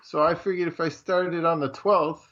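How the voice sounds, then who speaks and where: A man's voice: on the high side, steady tone, moderate pace, fairly bright tone. A man chatting casually as a co-host in a laid-back, colloquial way. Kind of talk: casual chat. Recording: slightly dull, lo-fi audio.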